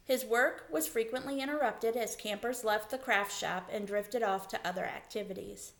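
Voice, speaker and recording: A woman reading a children's story aloud as a teacher, in expressive narration. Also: on the high side, moderate pace.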